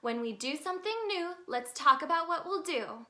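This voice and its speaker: A woman voicing a gentle, instructive mother tiger from a children's storybook, reassuring and sing-song. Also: high voice, lively intonation, moderate pace, voice pushed up, heavily acted. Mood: singing, encouraging.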